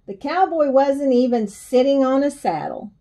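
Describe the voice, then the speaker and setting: A woman's voice: high-pitched, animated, moderate pace, warm tone. A woman voicing a friendly, folksy storybook cowgirl who uses Western turns of phrase. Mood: warm, admiring.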